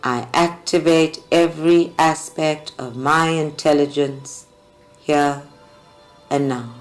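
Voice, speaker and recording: Slow woman guiding meditation. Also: low-pitched, poor audio. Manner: deliberate, measured phrasing with long pauses. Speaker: meditation guide. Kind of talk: guided group meditation. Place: group meditation at a creativity centre. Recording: live recording with music behind. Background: faint music.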